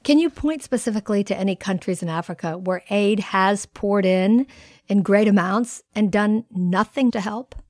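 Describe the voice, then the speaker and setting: A woman's voice: medium pitch, normal speed, darkish tone, no echo. Woman radio host, dry studio sound. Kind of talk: scripted radio news presenting and interviewing.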